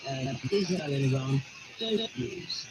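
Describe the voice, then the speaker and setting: A man with a mid-pitched voice presents news and asks questions conversationally, with halting phrasing. Very loud shortwave noise surrounds his voice. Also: expressive. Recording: very noisy shortwave radio.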